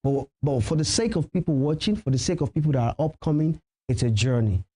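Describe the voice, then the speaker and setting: Emphatic Nigerian man, mellow higher-pitched voice. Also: fairly quick, breathy edge. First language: Yoruba. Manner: emphatic, advising, repeats key phrases for emphasis. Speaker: professional MC and event host, interview guest. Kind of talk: conversational television interview.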